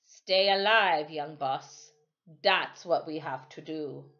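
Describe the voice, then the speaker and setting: A woman voicing an easygoing West Indian sailor man in his sixties in a storybook, with folksy, unhurried dialect. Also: fairly high pitch, pushed and bright, muffled recording, pitched below the performer's own voice, exaggerated voice. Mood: calm, reassuring. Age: 60s. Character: old West Indian sailor, over sixty, easygoing, chuckling. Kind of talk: storybook character voice.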